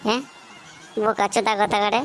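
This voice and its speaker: A woman's voice: medium pitch, flat delivery, brisk, lightly acted. A woman voicing a foul-mouthed village boy in a comedy cartoon, talking coarse slang with a West Bengal accent. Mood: grumbling.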